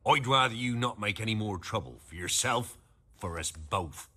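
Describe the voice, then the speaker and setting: A man playing an anxious village innkeeper in a fantasy video game, with a rough edge to his voice. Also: lowish voice, animated, moderate pace, pushed and bright, full character voice. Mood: wary, subdued. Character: anxious rustic male innkeeper in a war-torn village.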